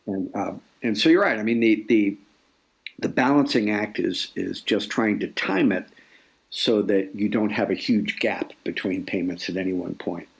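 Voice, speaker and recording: Gravelly older man, low and halting. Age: 60s. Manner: hesitant, halting, often repeating words. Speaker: guest author. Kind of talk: reflective interview conversation.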